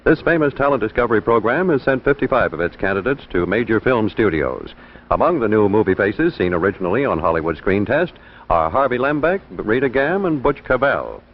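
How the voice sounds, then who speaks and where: A man's voice: on the low side, very animated, fairly quick, slight background noise. A man announcing programme promotions from a script in 1950s broadcast style. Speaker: television announcer. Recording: dull old 1950s broadcast.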